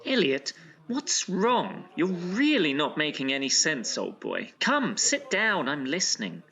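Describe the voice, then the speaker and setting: An actor playing a proper, dryly teasing but concerned gentleman friend in an audio drama, with old-fashioned polite diction. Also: fairly high pitch, sing-song, a little slow, full character voice. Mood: worried.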